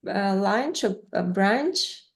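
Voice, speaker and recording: Ukrainian-accented woman, unhurried, halting delivery, video call audio, native Russian speaker. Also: medium pitch, lively intonation. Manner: hesitant, searching for words, frequent fillers. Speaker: interviewer. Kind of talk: casual video-call interview.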